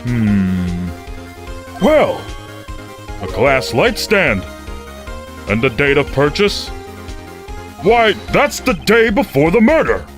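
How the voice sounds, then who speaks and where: A man playing a ponderous, easily puzzled judge, formal and deliberate, in a courtroom game fandub. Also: on the high side, sing-song. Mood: pondering. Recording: music behind the voice.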